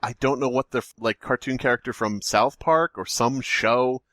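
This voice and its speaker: A man co-hosting a podcast, chatting casually about video games in an excitable, riffing, sweary way. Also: medium pitch, normal speed, dry recording, clean studio sound. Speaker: podcast co-host.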